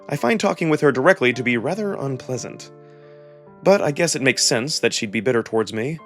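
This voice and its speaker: A man giving a dramatic, introspective first-person narration of a visual novel. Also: mid-range voice. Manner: expressive, introspective storytelling.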